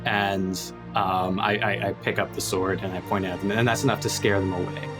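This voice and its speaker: A male player speaks casually in character during a tabletop game. His fairly low voice comes out in hesitant, informal bits, with clearly audible music underneath. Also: flat delivery, normal speed.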